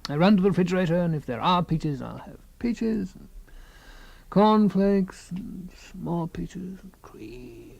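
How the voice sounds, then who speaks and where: A man's voice: high voice, lively intonation, unhurried, vocal fry, darkish tone, breathy edge, no echo, highs cut off, full character voice. An actor playing a fussy, self-absorbed husband in a radio comedy-drama, rambling and savouring small things, stoic about his creeping paralysis. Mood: wistful relish.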